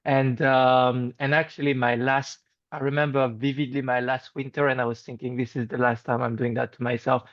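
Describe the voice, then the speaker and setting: A man's voice: medium pitch, level tone, normal speed, dry recording. A man with a French accent, a guest in a casual video call chat, speaking hesitantly with frequent fillers and restarts in a friendly way. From France. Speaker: interview guest. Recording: dull lo-fi video call audio.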